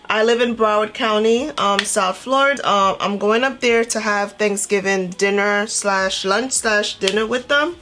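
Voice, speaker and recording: A woman from Trinidad and Tobago chatting casually as a beauty vlogger, telling a personal story in an animated, conversational way. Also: medium pitch. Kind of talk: casual chatty storytelling while doing makeup.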